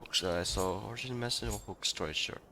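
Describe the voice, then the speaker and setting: A man giving casual, offhand gameplay commentary in a halting mutter, reading choices aloud. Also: fairly low pitch, pushed and bright, slight background noise.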